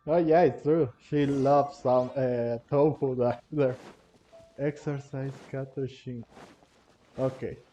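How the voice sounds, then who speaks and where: A man's voice: mid-range voice, fairly even intonation, takes it slowly, mellow. A man giving casual, rambling, hesitant commentary as he plays through a game. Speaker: gaming video host. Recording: dull, lo-fi microphone sound. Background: faint game music.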